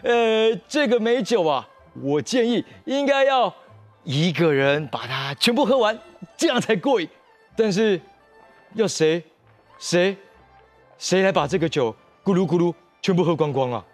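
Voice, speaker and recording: Man as agreeable, chirpy, giggly male guest in a kids' story; notably high pitch. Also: animated, unhurried, faint music behind. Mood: eager. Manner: chirpy, repeating himself.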